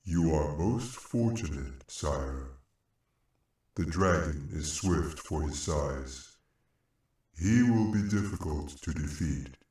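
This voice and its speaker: Cavernous, very deep giant armored knight. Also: male voice, animated, a little mellow, full character voice. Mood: grave, measured. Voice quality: deep, booming inside armor. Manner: slow, ponderous, formal. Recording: heavily echoing, distant-sounding voice effect.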